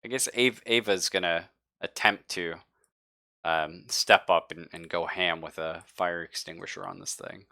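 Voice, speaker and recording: A man running a tabletop roleplaying game as game master, narrating casually and haltingly with informal, sweary wording. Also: sits low, fairly even intonation, creaky, bright, forceful tone, no room sound, studio quality.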